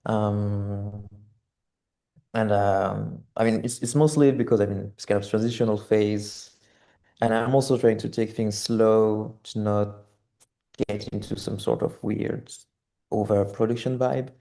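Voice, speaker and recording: Low, creaky-voiced young man, thoughtful and hesitant. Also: steady tone, unhurried, warm tone. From French Guiana. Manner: hesitant, searching for words. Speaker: artist, interview guest. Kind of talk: reflective interview talk.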